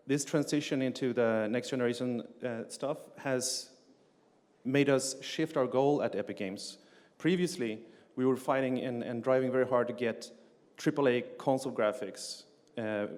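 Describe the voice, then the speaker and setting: Middle-aged man, conference speaker, filler-heavy technical delivery, mid pitch, echoing hall. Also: darkish tone. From Sweden. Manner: frequent "uh" fillers and restarts, plain explanatory delivery. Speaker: conference speaker, game engine developer. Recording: long reverb of a lecture hall.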